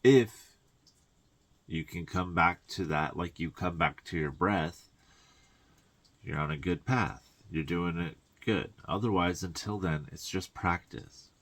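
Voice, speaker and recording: Male spiritual coach, very low voice, informal solo delivery, reflective and halting, with colloquial asides. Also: a bit bright. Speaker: tarot reader and spiritual guide.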